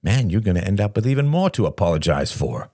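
A man's voice: fairly low pitch, big pitch swings, fast, mellow, dry recording, clean studio sound, pitched above the performer's own voice. A man voicing a brash, impatient, bossy gym-bro older brother in an audiobook story, with an expansive, decisive manner. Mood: impatient, stern.

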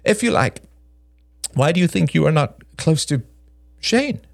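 A man voicing a nervous but defiant younger man in an audiobook drama, stammering and halting as he protests. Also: on the high side, big pitch swings, gravelly, dry recording, clean studio sound, voice pushed up, heavily acted. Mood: nervous, probing.

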